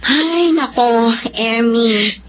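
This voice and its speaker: A woman's voice: a little high, extremely slow, dull audio, a little hiss or hum. A woman playing a frank, scolding friend in a drama, speaking with a Filipino accent. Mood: exasperated. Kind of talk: Filipino dramatic radio-style acting.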